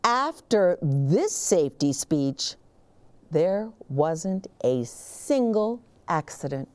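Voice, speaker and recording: A woman hosting storytime, reading a children's picture book aloud expressively, with dramatic pauses and emphasis. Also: fairly low pitch, sing-song, a little mellow. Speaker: children's storytime reader and host.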